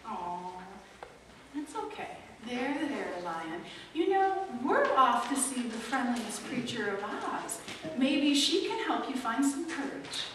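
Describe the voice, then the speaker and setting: A woman's voice: on the high side, big pitch swings, slow. A woman playing a kind, eager girl leading her friends in an amateur church skit. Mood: soothing. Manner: sweet, eager. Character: kind young Dorothy-like girl leading the group. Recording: echoey hall from far off, faint noise.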